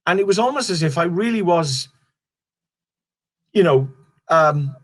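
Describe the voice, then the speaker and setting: A man's voice: a little high, lively intonation, a little slow. A middle-aged Welsh comedian chatting casually in an interview, rambling and restarting his sentences. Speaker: stand-up comedian guest.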